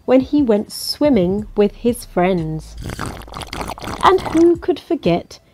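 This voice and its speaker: A woman reading a children's picture book aloud in an expressive, coaxing way, as if to young listeners. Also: fairly high pitch, takes it slowly, no room sound. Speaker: storybook reader.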